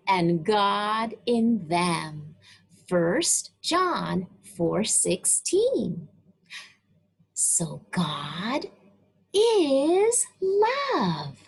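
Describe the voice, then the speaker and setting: A woman reading a religious children's book aloud, with deliberate, emphatic phrasing and pauses. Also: mid-range voice, gravelly, breathy edge. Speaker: children's story reader.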